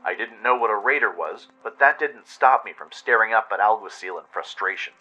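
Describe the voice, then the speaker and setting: A man's voice: fairly low pitch, animated, brisk, bright, forceful tone, dull audio. A man reading a dramatic scripted adventure story as narrator. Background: music.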